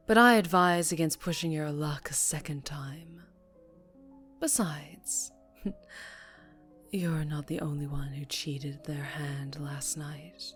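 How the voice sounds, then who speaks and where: A woman playing a menacing, teasing female mafia boss in an ASMR roleplay, speaking deliberately and often slipping into breathy whispers. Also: sits low. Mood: taunting, amused.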